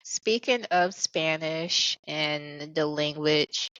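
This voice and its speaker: A woman's voice: deep voice, drawn-out words, a bit bright, no room sound. A woman hosting a casual interview, responding encouragingly, on slightly lo-fi audio. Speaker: podcast host.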